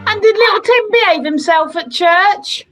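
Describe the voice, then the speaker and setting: A woman's voice: very high voice, moderate pace, a bit bright, faint music behind. A woman playing a tart, outspoken wife and mother in an amateur radio play, forthright and emphatic. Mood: homely. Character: indignant, outspoken wife and mother, Mrs Cratchit.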